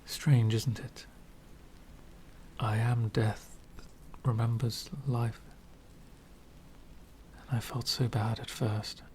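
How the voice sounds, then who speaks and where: A man playing an elegant, centuries-old vampire gentleman, speaking softly and half whispering in a refined, wistful ASMR roleplay. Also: mid-range voice, little pitch movement, a little hiss or hum, close to an everyday voice. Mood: composed, confessional. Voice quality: soft, breathy whispering. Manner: refined, wistful, slow and deliberate.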